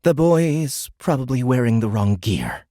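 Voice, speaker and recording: A man's voice: mid-range voice, very animated, normal speed, warm tone, dry recording, studio quality, voice pushed up, lightly acted. A man voicing a nervous, deferential human official in an audiobook, anxious and placating. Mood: placating, evasive. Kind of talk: audiobook character acting.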